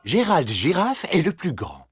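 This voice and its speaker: An actor as a cheerful narrator of a preschool cartoon, giving clear scripted explanations. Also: medium pitch, sing-song, a little slow, no room sound, clean studio sound, faint music behind, exaggerated voice. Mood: cheerful, explanatory.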